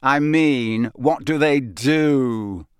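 A man's voice: mid-range voice, extremely slow, clear, resonant tone, no room sound, studio quality. Man doing a cold, sneering schoolboy. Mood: mocking. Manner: sarcastic, drawling. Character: cold sneering teenage boy, sarcastic.

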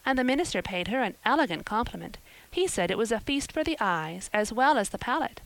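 A woman's voice: a little high, animated, a little fast, dry recording, rough recording. A woman voicing a dramatic, talkative young orphan girl in a storybook, pleading earnestly and breathlessly. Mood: eager.

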